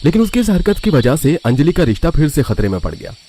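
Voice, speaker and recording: A man's voice: on the high side, sing-song, fast talker, mellow, a little hiss or hum. A man narrating a scripted cartoon family story.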